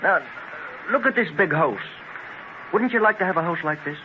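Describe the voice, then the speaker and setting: An earnest, ambitious lad in an old radio play. His voice sits fairly high and he argues his case with conviction. The muffled 1930s recording is thick with noise. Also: animated, normal speed. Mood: earnest, confident. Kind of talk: 1930s radio drama acting.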